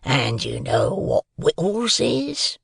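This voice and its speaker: A man voicing a menacing, ragged convict in a storybook, with slow, drawn-out rustic threats. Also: medium pitch, huge pitch swings, creaky, a bit bright, breathy edge, no room sound, studio quality, full character voice. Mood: suspicious.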